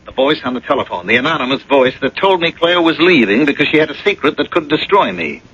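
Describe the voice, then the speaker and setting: An actor playing a stern, resolute lawyer husband in a radio drama, speaking in a forceful, insistent way. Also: male voice, a little high, animated, fast, slightly rough. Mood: urgent. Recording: dull old radio broadcast.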